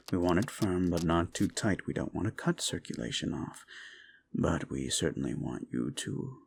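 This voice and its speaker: Casting: male actor as a paranoid, possessive prince, low and unhurried, whispery menace. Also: creaky, slight background noise, heavily acted. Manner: soft, slow, often whispering, menacing. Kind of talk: audio roleplay drama. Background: background music.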